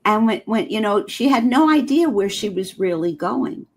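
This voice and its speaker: A woman's voice: mid-range voice, animated, normal speed. An older woman whose first language is German, telling a personal story as a guest with earnest, heartfelt feeling.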